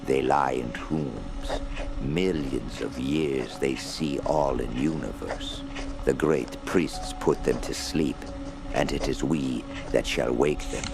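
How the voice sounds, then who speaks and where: An aged, menacing cult prisoner for a horror radio play. The voice is rock-bottom deep and gravelly, delivering broken English in slow, incantatory fragments. Music runs clearly behind it. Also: male voice, exaggerated voice. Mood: ominous, reverent. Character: old, fanatical foreign male cult prisoner, menacing mystic. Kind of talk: radio horror drama acting.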